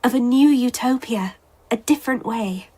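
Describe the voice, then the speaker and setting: Wistful woman in a radio play, high breathy voice, intimate, a bit daring. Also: moderate pace, mellow. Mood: quietly daring. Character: wistful, daring woman, secret writer and enslaved lover. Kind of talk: radio drama acting.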